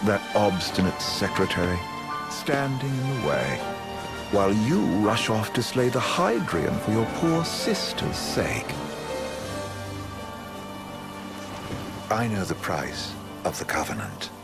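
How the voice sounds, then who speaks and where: An actor playing a sly imperial villain in a video game, pompous and silkily insinuating. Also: male voice, lowish voice, wildly swooping pitch, a little slow, gravelly, heavily acted. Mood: mocking. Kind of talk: video game cutscene acting. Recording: voice over loud game music.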